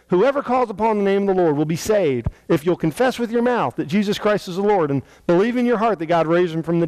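High-pitched American pastor, dry sound. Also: male voice, darkish tone, dry recording, low-quality recording. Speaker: senior pastor. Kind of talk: televised church invitation to viewers. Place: indoor church sanctuary.

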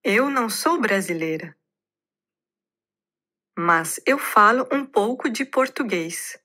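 A woman speaks in a bright, clear voice and reads course lines at a very slow pace. Each word comes out deliberate and evenly spaced, like recorded lesson audio. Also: mid-range voice, lively intonation. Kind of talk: slow scripted dialogue reading.

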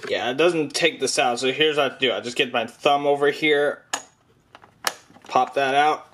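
A man's voice: mid-range voice, moderate pace, background noise. A young adult man narrating a casual hands-on demo in a plainspoken, offhand way, recorded in a room at home from behind the camera. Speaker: gadget demonstrator.

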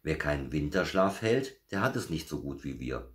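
A man's voice: very deep voice, very animated, normal speed. A man voicing a motherly, self-satisfied female bear who explains things patiently in a children's storybook reading. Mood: patient, explaining.

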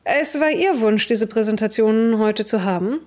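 A woman's voice: a little high, moderate pace, a little mellow, lightly acted. A woman playing a stern, probing female military commander in a tabletop game, speaking formally and curtly.

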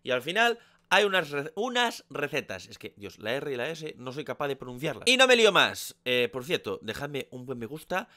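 Bright-voiced man hosting casually. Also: mid-range voice, big pitch swings, moderate pace. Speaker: video host and comic dubber. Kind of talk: casual outro to camera. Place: small home room. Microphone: desk microphone.

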